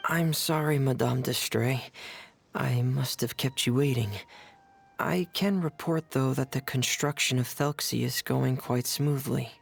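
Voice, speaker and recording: A man playing a shy, earnest, soft-spoken teenage boy mechanic in a video game, reserved and polite. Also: mid-range voice, unhurried, vocal fry, a little hiss or hum. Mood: polite, deferential.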